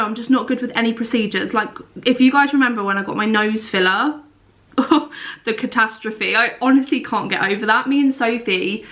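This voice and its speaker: A woman's voice: on the high side, dull audio. A young woman chatting casually to camera at home for her vlog, sounding congested and sniffly from a cold. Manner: chatty, rambling. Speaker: lifestyle vlogger.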